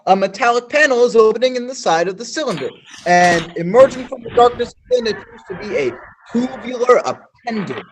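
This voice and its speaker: A man's voice: very high-pitched, animated, a little fast, a little hiss or hum, rough recording. A man playing a flustered news reporter in a stage radio play, stammering through formal newsreader phrasing.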